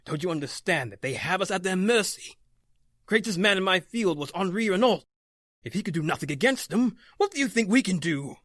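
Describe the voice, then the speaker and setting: Grave doctor under siege, played by a man: intense, wide-swinging delivery, slightly raised pitch, old-time horror radio. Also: lively intonation, fairly quick, fairly bright tone, no echo, studio quality. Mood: resigned, grave. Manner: intense, dramatic, emphatic.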